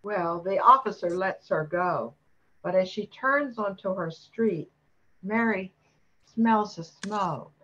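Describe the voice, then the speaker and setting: A woman's voice: mid-range voice, slow, fairly bright tone, highs cut off. An older woman narrating a scripted radio play.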